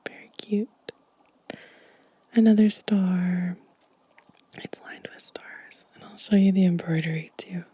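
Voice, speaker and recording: Slow, breathy whispering woman. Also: lowish voice, fairly even intonation, dry recording. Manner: gentle, unhurried. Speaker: ASMR video creator. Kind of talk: soft-spoken whispered ASMR show and tell.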